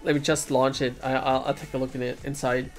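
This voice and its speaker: German native man streaming games, casual halting chat, soft music behind. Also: mid-range voice, little pitch movement. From Germany, Bavaria. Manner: hesitant, restarts sentences, chuckles often. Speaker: game streamer.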